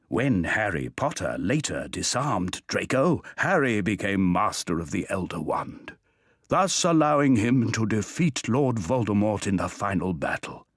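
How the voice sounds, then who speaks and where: A man voicing the dramatic storyteller of a fantasy video game, reading scripted narration in a measured way. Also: on the low side, no room sound, studio quality.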